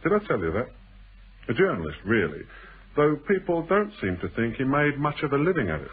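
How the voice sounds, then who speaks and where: An actor playing a shrewd, insinuating stranger in a British radio thriller, with a teasing, deliberate way of probing and a husky voice. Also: male voice, medium pitch, huge pitch swings, full character voice. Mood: sly storytelling. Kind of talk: British radio drama acting. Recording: muffled band-limited old radio broadcast.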